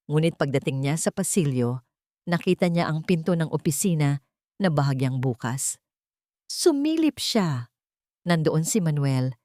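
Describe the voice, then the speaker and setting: A woman's voice: low-pitched, a little mellow, dry recording, clean studio sound. A woman reading a scripted story as an audiobook narrator, in a dramatic storytelling style.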